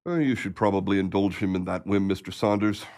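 Radio drama, sensible physician, formal, patient, fairly deep voice. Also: male voice, fairly quick, darkish tone. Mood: concerned, resigned. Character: sensible, patient male family doctor, mildly exasperated. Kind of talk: radio play acting.

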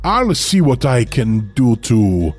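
Sly vampire shopkeeper, very slow and hypnotic, lively intonation. Audio drama. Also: male voice, mid-range voice, breathy edge, soft background music, heavily acted. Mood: smooth, polite. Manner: measured, hypnotic, insinuating. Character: suave, slow-talking male vampire shop manager, sly and predatory.

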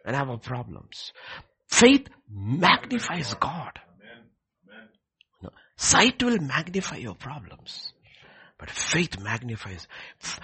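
A man with a husky voice preaching a sermon, emphatic, repeating key phrases and swinging between hushed and loud delivery. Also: mid-range voice. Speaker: preacher leading a prayer service.

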